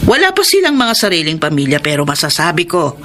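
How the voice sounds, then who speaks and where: An actress playing an emotional middle-aged older sister and devoted aunt in a Filipino radio drama, with dramatic, emphatic delivery. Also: female voice, low-pitched, huge pitch swings, quick pace, a bit bright, slight background noise, exaggerated voice. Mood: proud, grave.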